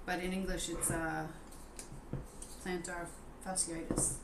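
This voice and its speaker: An American woman in her forties chatting casually as co-host, talking haltingly with fillers and poking fun at herself. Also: lowish voice, level tone. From United States. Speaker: co-host of a live stream. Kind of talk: casual chat. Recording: echoey room with noise behind.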